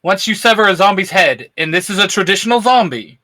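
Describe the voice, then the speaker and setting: Bright-voiced excitable man. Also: high-pitched, fairly quick, poor audio. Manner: excitable, laughs often, rambling. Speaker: co-host. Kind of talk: casual podcast chat.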